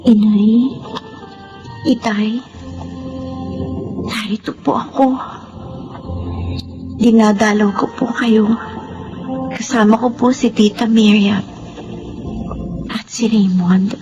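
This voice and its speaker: A woman playing a devout, tender young orphaned woman in a Filipino radio drama, speaking slowly and with emotion. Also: on the high side, steady tone, music in the background, poor audio. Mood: tearful, tender. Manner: slow, emotional, earnest.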